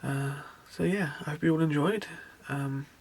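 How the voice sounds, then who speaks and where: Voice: mid-range voice, moderate pace, a little mellow, breathy edge. A van-conversion vlogger chatting casually with many fillers and restarts, voice a little worn. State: losing voice. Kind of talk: casual vlog narration. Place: inside a small campervan.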